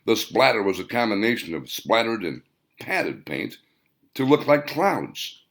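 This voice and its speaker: Low, gravelly male storyteller with swooping delivery. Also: big pitch swings, unhurried, fairly bright tone. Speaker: author reading own novel. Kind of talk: scripted audiobook narration.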